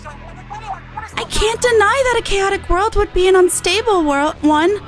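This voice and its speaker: Woman voicing a high, gentle, earnest young inventor. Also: measured pace, music in the background. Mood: earnest, hopeful.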